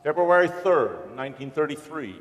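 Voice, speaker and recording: A man acting as a grave narrator in a staged documentary reading, speaking deliberately and declaiming each phrase. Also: on the high side, big pitch swings, fairly bright tone. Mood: solemn. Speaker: stage reader in a dramatic reading. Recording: echoey hall with long reverb.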